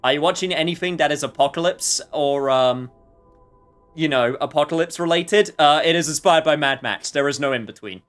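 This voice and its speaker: A man's voice: a little high, brisk, a bit bright, smooth. A man doing casual, rambling, jokey live commentary while streaming a game.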